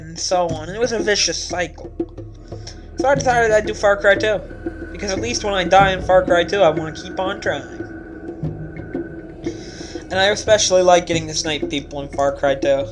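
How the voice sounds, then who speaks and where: A young man chats over his gameplay in a rambling, self-deprecating way, slipping into mock-formal phrases. His voice sits a little high for a man, and loud game music plays behind him. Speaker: let's play host. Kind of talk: casual gameplay commentary.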